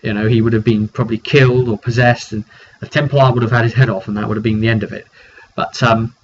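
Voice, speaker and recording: A British man giving a casual, rambling recap of a roleplay game session, often halting mid-sentence as he tells the story. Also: lowish voice, fairly even intonation. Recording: dull lo-fi recording with background noise.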